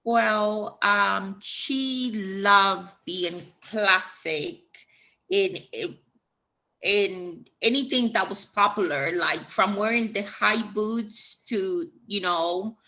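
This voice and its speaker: A woman's voice: medium pitch, extremely slow, bright, forceful tone. A woman from Honduras, a fashion student, telling her personal story with many hesitant fillers.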